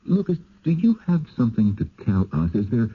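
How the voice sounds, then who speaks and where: An actor playing a firm, sceptical husband with an insistent but polite manner in a radio drama. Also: male voice, medium pitch, very animated, fairly quick, husky. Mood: puzzled, probing. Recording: muffled, phone-like old radio recording.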